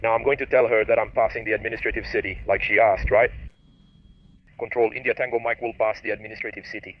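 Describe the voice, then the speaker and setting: A man's voice: lowish voice, steady tone, fast talker, highs cut off. A man flying a helicopter, explaining the flight to viewers and making radio calls on a headset. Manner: explains to viewers, reads crisp radio phraseology. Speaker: helicopter pilot. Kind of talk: casual cockpit commentary with radio calls.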